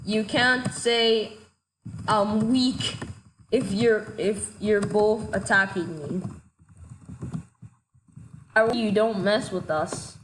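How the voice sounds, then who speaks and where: A woman giving casual gameplay commentary, excitable and quick to shout in frustration, a little sick. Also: medium pitch, background noise. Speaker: gaming commentator.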